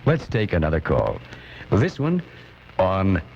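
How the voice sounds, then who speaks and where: A man's voice: sits low, huge pitch swings, slow pace, gravelly, darkish tone, slight background noise, full character voice. A man playing a pompous, solemn call-in announcer with formal, grandiose, mock-serious radio delivery. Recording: muffled off-air cassette, music behind.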